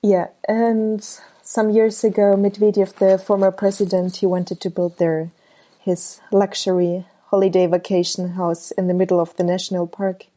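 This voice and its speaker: Young woman from Germany, mellow voice, hesitant, lots of fillers, interview guest. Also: medium pitch, level tone, moderate pace. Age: 20s. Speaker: guest photographer. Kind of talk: casual interview chat.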